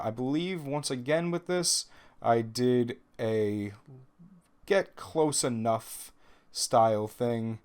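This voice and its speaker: A man's voice: mid-range voice, clear, resonant tone. Nasal Brooklyn man narrating gameplay. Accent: New York (Brooklyn). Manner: chatty, self-deprecating, rambling with ums and restarts. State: slightly nasal from a cold. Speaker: gaming video host. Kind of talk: casual gameplay voice-over commentary.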